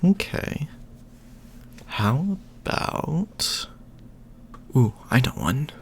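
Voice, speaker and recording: Soothing asmr boyfriend guy reading bedtime story, real slow, bit of fry in the voice. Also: medium pitch, sing-song, slight background noise. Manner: affectionate, soothing.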